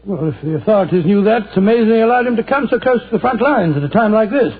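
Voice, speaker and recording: Flustered sidekick, high jumpy voice, thin tinny radio. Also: male voice, animated, fast talker. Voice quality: bluff and easily startled. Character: Doctor Watson, flustered loyal male companion. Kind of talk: 1940s radio acting. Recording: tinny 1940s radio broadcast.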